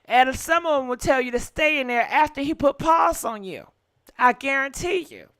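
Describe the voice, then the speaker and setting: A woman's voice: sits high, animated, moderate pace, a bit bright. A woman hosting a live gossip call-in show, acting out a preachy role play and teasing her callers.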